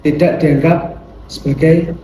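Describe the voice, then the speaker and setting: Echoey, mellow young male activist, acted by a man. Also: on the high side, steady tone, takes it slowly. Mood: urgent. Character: earnest, insistent young Indonesian independence activist man. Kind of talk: amateur historical film acting, formal scripted dialogue. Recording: echoey room, distant mic, faint noise.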